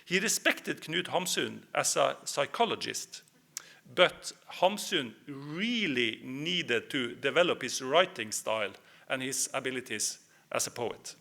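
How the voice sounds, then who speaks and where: A man with a Norwegian accent giving a history presentation, speaking in measured phrases with hesitant fillers. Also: medium pitch, animated, vocal fry, sharp tone. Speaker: lecturer.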